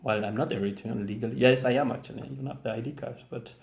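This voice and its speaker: Young man, German accent, casual self-reflective vlogger; hesitant, mid-pitched, unhurried. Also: gravelly. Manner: thoughtful, hesitant, many fillers and restarts. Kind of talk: casual talk to camera.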